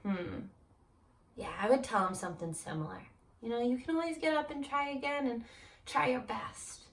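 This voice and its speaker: A woman's voice: high-pitched, moderate pace, echoey room. A woman teacher doing an interactive read-aloud for children, prompting them with questions and encouraging them.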